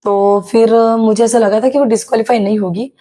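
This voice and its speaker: A young woman from Maharashtra with a slightly sore, hoarse throat, telling a casual vlog story with many hesitations. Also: on the high side, steady tone, fairly quick, warm tone. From Maharashtra, India. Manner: chatty, many hesitations and English words mixed in. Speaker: YouTuber sharing her exam experience.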